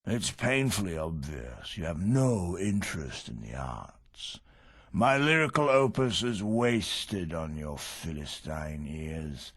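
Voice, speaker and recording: Slow, pompous male prison warden. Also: low voice, sing-song, a bit bright, exaggerated voice. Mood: pompous, irritated. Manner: pompous, theatrical declaiming with trailing endings. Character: pompous prison warden and vain amateur poet. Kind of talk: theatrical video game acting.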